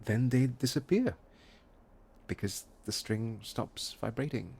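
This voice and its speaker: An actor playing a reticent, intense musician in an audio drama, speaking with a husky voice in a halting, poetic, introspective way. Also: male voice, medium pitch, a little mellow, full character voice. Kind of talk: naturalistic audio drama dialogue and lyrical monologue.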